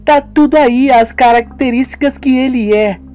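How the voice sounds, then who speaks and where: A man voicing a panicked young woman who is crying, in a high falsetto, in a homemade radio drama. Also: normal speed, darkish tone, soft background music. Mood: sobbing panic. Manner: shrill, frantic falsetto.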